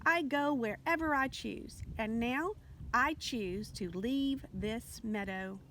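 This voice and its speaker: Lady doing a free-spirited boy butterfly for a kids book, airy n sure of himself, highish voice, bit of noise. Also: slow pace, a bit bright. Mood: carefree. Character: breezy, independent, free-spirited male butterfly. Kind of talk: children's storybook character voice.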